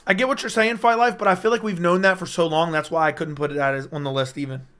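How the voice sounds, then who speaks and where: A young man talks loosely to his livestream chat, rambling and hyped, answering comments as they come in. His voice is strained and keeps cutting in and out, though its tone underneath stays clear. It sits a little high for a man. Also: steady tone, fast talker, a bit bright. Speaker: MMA YouTuber hosting a livestream. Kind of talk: casual livestream chat reading viewer comments. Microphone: personal streaming mic.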